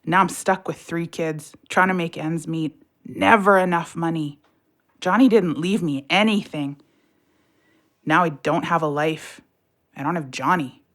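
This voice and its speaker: A woman's voice: on the low side, measured pace, close to an everyday voice. An actress playing a bitter, sardonic widowed mother of three in a naturalistic audio play, blunt and colloquial in her talk. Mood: weary, resigned. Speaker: voice actor in audio drama.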